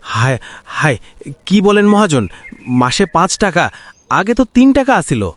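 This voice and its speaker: A man's voice: high-pitched, very animated, a little slow. A man voicing a desperate, pleading poor farmer, in a cartoon. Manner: pleading, alarmed.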